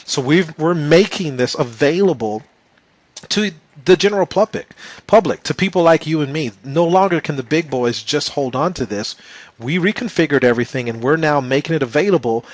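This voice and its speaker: A man with a slightly hoarse voice giving an enthusiastic sales pitch for a business opportunity, calling his viewers "you guys". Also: animated, moderate pace, dry recording. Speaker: presenter.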